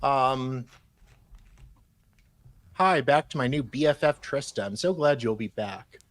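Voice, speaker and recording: A man's voice: medium pitch, slow pace, no echo. A man on a live stream panel, chatting casually and reading things aloud.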